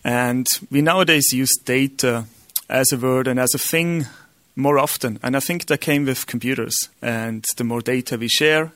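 Man, Austrian German accent, halting lecture delivery, dry close sound. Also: medium pitch, fairly bright tone, no room sound. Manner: halting, many ums and restarts. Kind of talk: live conference lecture.

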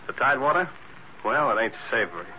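A muffled old radio recording with faint noise has a man playing a tough city policeman. He talks fast and low, in wry streetwise slang. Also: lively intonation, close to an everyday voice. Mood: wry, suspicious. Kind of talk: 1940s radio acting.

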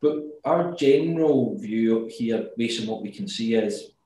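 A man's voice: lowish voice, animated, a little slow, background noise. Glaswegian man in a very echoey room. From Scotland. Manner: thoughtful, restarts words often. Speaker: guest, company executive. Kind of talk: remote interview.

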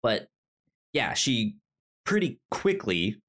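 A man's voice: medium pitch, very animated, slow, a bit bright. A man co-hosting a podcast, chatting casually about film with a frequent stammer, repeating syllables and searching for words. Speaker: podcast co-host.

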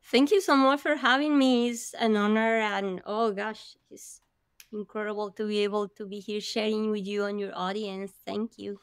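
Lady telling her story, animated, gasps and laughs, dry close sound. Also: medium pitch, normal speed, clean tone, no echo. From Colombia. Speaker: guest. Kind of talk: storytelling in an interview.

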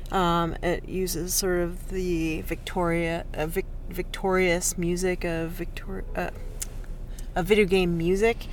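A woman's voice: fairly low pitch, a little hiss or hum. Chatty woman guest with fillers. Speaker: podcast guest, dancer and critic. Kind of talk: casual conversation. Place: parked car in a parkade.

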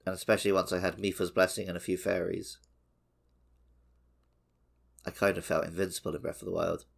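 A man's voice: low-pitched, little pitch movement, a little fast, creaky. A man co-hosting a podcast, chatting casually about video games. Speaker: podcast co-host. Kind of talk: casual two-man video game discussion.

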